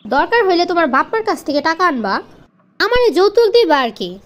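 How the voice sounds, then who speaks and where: Casting: female actor as demanding young cartoon wife, extremely high-pitched, Bangladeshi accent, scolding and insistent. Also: very animated, fairly quick. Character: bossy, demanding young wife devoted to her girlfriends. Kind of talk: comic cartoon dialogue in colloquial dialect.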